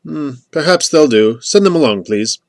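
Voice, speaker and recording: A man's voice: a little high, expressive, moderate pace, a little mellow, no room sound, studio quality, voice pushed up. A man voicing a courteous, haggling male graverobber with a Hungarian accent in an audiobook. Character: deep, fast-talking Hungarian male graverobber, politely haggling.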